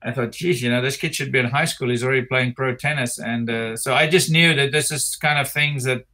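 A man in his sixties with a South African accent, chatting as an interview guest over a video call from his home office, rambling and relaxed with many fillers and restarts. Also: mid-range voice, a little fast. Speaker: interview guest, retired tennis player. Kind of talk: casual interview chat. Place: home office with framed pictures.

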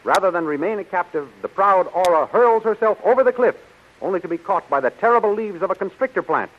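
A man's voice: high-pitched, animated, fast talker. A man with an American accent narrating a 1930s radio serial in a dramatic, declamatory way. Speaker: announcer and narrator. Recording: muffled, hissy 1930s radio recording.

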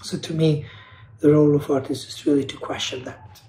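A man's voice: fairly high pitch, flat delivery, a little fast, mellow, roomy sound, a little hiss or hum, poor audio. A man from Kosovo, speaking as an artist on a panel over a video call, earnest and searching, often restarting and repeating words. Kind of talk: reflective panel talk.

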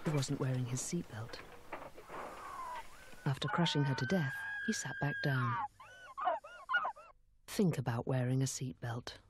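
A man's voice: mid-range voice, unhurried, long pauses. A man gives grave, terse narration for a safety advert. The archive TV soundtrack is very noisy behind him.

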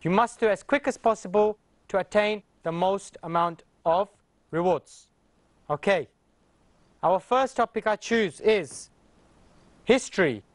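A man's voice: high-pitched, a little slow, long pauses. A man in his thirties hosting a TV quiz show in a studio, friendly and upbeat, on a lapel mic.

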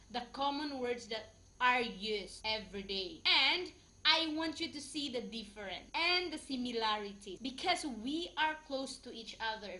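Upbeat Filipino-accented woman host in her thirties, high voice, echoey room. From Philippines. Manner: upbeat, bubbly, with loud cheers. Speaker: vlogger and quiz host. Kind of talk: cheerful vlog, then hosting a word quiz. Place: small plain room with a whiteboard. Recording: echoey room, background hiss.

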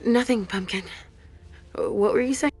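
Fragile, creaky-voiced troubled mother. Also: fairly high pitch, a little slow, darkish tone. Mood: tender, reassuring. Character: troubled, tender vampire seer mother, fragile and uneasy. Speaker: video game character. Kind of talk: dramatic game cutscene acting.

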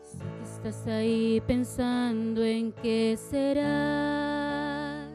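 A young woman singing a slow ballad live on a theater stage into a handheld mic. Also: a little high, completely flat delivery. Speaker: singer. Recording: loud guitar backing track.

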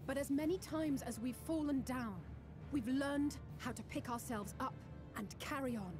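A woman's voice: high-pitched, moderate pace, music in the background, close to an everyday voice. A woman playing a proud, resolute young elf heroine in a video game, earnest and emphatic. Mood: resolute, encouraging.

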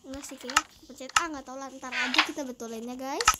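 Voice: high-pitched, fairly even intonation, fast talker. A child giving casual commentary on a game house tour, recorded on a phone. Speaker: gaming vlog host. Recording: very noisy phone recording with clicks.

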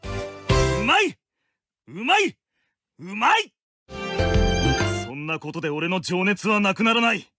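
An actor playing a fervent, honourable young swordsman in an anime-style game, booming and declaiming with resolve. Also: sing-song, takes it slowly, pauses often, over loud music, full character voice. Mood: fervent, resolute. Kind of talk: anime-style mobile game character lines.

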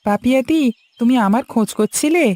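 A woman's voice: high-pitched, brisk, dark tone, close to an everyday voice. A woman voicing a helpful, dutiful young village handyman in a cartoon story, earnest and polite. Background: background music.

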